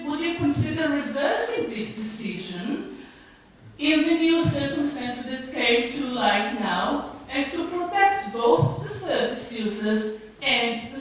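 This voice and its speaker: A woman's voice: sits high, fairly bright tone. A woman putting questions at a public meeting in an insistent, confrontational way, recorded in a large echoey room. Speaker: social worker asking a public question at a council meeting. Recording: echoey hall from far off, faint noise.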